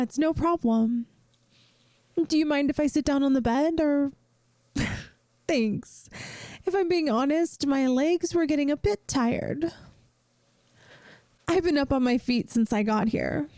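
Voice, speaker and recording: A woman playing a caring young best friend in a soft-spoken ASMR roleplay, gentle and earnest, hesitant at times, offering reassurance. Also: sits high, no echo, studio quality, heavily acted. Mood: hesitant, helpful.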